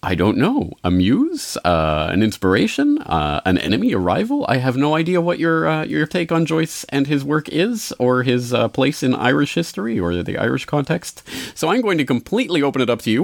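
A man's voice: a little high, vocal fry, no room sound, studio quality. A man hosting a podcast, talking in a rambling, conversational way with many fillers. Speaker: podcast host.

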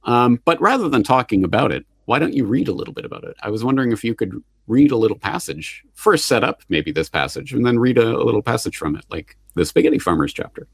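Husky, lively-voiced male interviewer. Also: mid-range voice, quick pace, few pauses, dry recording. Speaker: podcast host. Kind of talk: casual interview chat.

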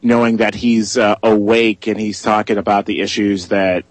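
A young man in his twenties, a freelance journalist guest on a radio interview, speaking eagerly through a hoarse voice and many "uh" fillers. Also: lowish voice, level tone, moderate pace, dry recording.

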